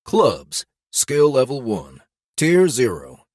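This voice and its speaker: A man plays an impersonal game-system announcer in a fantasy audiobook. The formal phrases come very slowly, with dramatically swinging pitch, in a very dry sound. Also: wildly swooping pitch, darkish tone, husky, dry recording, heavily acted. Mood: official announcing.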